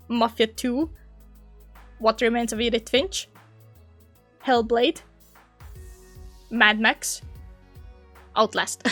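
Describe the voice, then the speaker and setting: A young woman who makes gaming videos, chatting casually and giggling often, her voice a little hoarse from long talking. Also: a little high, fairly bright tone. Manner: chatty, giggly, laughs often between phrases. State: slightly hoarse, losing her voice. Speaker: gaming YouTuber. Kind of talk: casual reflective vlog chat.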